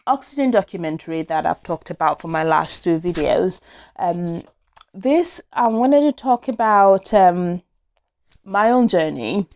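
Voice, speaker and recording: A young woman from Nigeria in a small indoor room, telling her own story to camera in a casual, open way, with many fillers and self-corrections, recorded on a lapel mic. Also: mid-range voice. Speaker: vlogger. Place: small indoor room against a plain wall. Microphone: small clip-on lapel mic.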